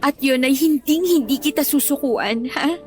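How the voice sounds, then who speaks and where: Actress for devoted young girlfriend in a radio romance; very high voice, earnest, pleading, stubbornly loyal. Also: normal speed, darkish tone, soft background music, close to an everyday voice. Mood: tender, reassuring. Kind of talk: Tagalog radio drama acting.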